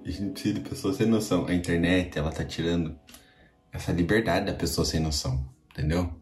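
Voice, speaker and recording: A man's voice: deep voice, a little fast. A man with a Brazilian accent hosting a podcast, giving casual, slangy reaction commentary full of swearing. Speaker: podcast host. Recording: echoey room with music behind.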